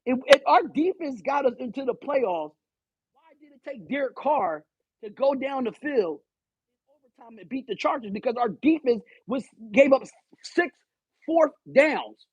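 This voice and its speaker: Loud, heated man ranting on stream. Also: very high-pitched, moderate pace, husky, dry recording, muffled recording. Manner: loud, animated, swearing, talks back to chat. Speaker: live stream host. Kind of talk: casual live stream rant.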